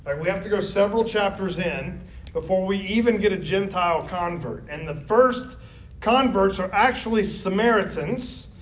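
A man's voice: high voice, moderate pace. A man teaching a Bible study class, talking conversationally and often asking the group questions. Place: classroom or church meeting room. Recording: roomy, distant, dull lo-fi with faint noise.

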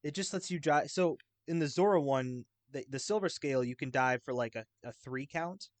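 A man's voice: fairly high pitch, moderate pace, dry recording. A man in a casual chat about a video game, eagerly explaining details at length.

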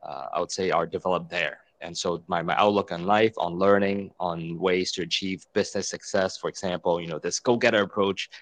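A friendly man from Hong Kong in his thirties talks in a chatty, easygoing manner, his voice sitting fairly low. The recording is dry and close, with no room sound. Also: fairly even intonation, brisk, few pauses, a bit bright, dry recording. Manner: friendly, chatty, self-deprecating. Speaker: guest, fitness educator. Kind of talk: casual interview chat.

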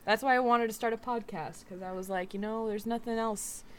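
A woman's voice: fairly high pitch, steady tone, fairly quick, a little hiss or hum. A woman co-hosting a casual podcast, joking, giggling and trailing off mid-thought. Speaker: podcast co-host. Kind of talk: casual chat.

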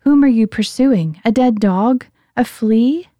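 A woman's voice: a little high, slow pace, mellow, no echo, dull audio, voice pushed up. A woman reading the lines of a young male outlaw who earnestly pleads his innocence to a king in a scripture reading. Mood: earnest pleading.

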